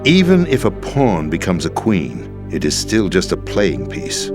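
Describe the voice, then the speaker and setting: An actor playing a disillusioned, world-weary middle-aged American president in a dramatic video game scene, with an emphatic theatrical delivery. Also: male voice, deep voice, wildly swooping pitch, measured pace, full character voice. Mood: resigned, bitter. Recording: game soundtrack music underneath.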